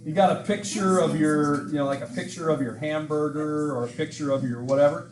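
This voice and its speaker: A man's voice: on the high side, fairly even intonation, brisk, a little mellow. A middle-aged man lecturing a history class, chatty and self-mocking, rambling between jokes, recorded on a laptop mic. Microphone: laptop mic at a lectern. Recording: echoey classroom with background hiss.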